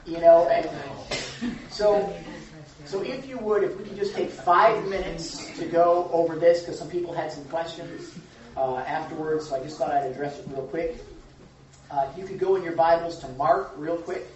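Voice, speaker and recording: A man's voice: on the high side, normal speed. Man teaching a group in an echoey room. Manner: conversational, asks the group questions, chuckles often. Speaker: pastor. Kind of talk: informal Bible study teaching. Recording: echoey room from far off, dull and lo-fi.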